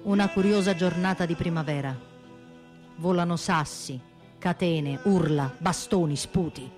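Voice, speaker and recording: A woman reading scripted radio theatre narration with theatrical, measured phrasing. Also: lowish voice. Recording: music under the voice. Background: music.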